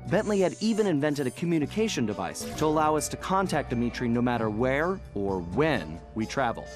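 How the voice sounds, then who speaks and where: A man voicing a cocky, easygoing young raccoon thief, telling his story wryly in an animated video game. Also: medium pitch, fairly quick, close to an everyday voice. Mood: reflective. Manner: wry, smooth storytelling. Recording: clear music bed behind.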